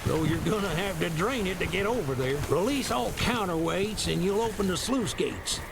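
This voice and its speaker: An actor playing a grateful townsman survivor with a gravelly voice. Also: high voice, animated, normal speed, a crowd in the background. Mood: relieved. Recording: noisy outdoor rainy game scene.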